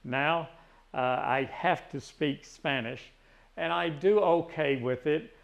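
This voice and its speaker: Older man, Tennessee drawl, gravelly, rambling casual chat. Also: fairly high pitch, slow. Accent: Tennessee Southern. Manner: rambling, frequent restarts and fillers. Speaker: retiree vlogger.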